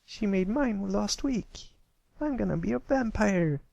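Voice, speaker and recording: A man's voice: high voice, expressive, a little slow, vocal fry, dark tone, no room sound, dull audio, pitched above the performer's own voice, full character voice. A man voicing a sweet, chirpy little filly pony with a light, girlish manner in a storybook reading.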